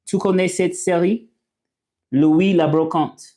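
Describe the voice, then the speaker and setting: A man whose first language is English, hosting a casual livestream and reading viewer comments, talking haltingly with many fillers and restarts. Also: sits high, steady tone, warm tone. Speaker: livestream host.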